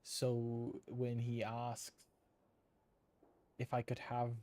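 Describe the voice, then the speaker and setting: A game streamer with a mid-low speaking voice talks casually over play. The delivery is informal and quippy, full of joking asides. Faint game ambience runs behind. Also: monotone, very slow, noisy background. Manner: informal, quippy asides, reads choices aloud.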